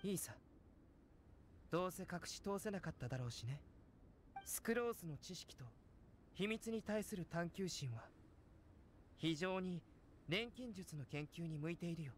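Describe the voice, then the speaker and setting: A scholarly young male alchemist from an anime game. His voice is high for a man, calm and measured, gently probing, over faint ambience. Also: slight background noise. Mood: composed, inquiring. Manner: composed, measured, softly probing.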